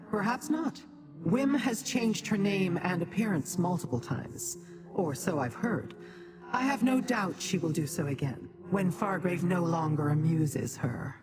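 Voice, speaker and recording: Stern, imperious woman, slightly low. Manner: measured, formal, commanding. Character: stern, imperious female schemer, haughty and businesslike. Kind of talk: fantasy video game voice acting.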